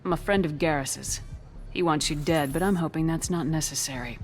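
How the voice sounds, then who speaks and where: A man acts a principled commander in a video game. His voice sits a bit high for a man and stays measured and firm, reasoning calmly over soft background music. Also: lightly acted. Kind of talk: dramatic video game acting.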